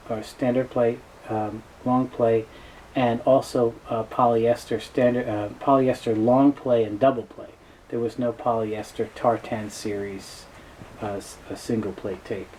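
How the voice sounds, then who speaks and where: A middle-aged man explains product history in a soft, mellow tone at a medium pitch. His delivery is informal and halting, with pauses and self-corrections. Clear background noise sits behind his voice in a small office. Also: low-quality recording. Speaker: presenter, tape specialist. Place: small cluttered indoor office. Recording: noticeable background noise, room ambience.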